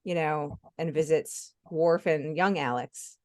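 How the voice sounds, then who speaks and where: A woman's voice: low-pitched, slow pace, darkish tone. A middle-aged woman in casual conversation as a podcast co-host. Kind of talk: casual chat.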